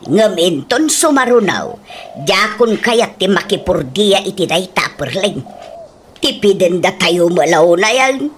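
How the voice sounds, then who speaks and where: A woman's voice: medium pitch, big pitch swings, unhurried, a little hiss or hum. A woman playing a weary, reproachful older female farm worker in a radio comedy drama. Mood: grumbling.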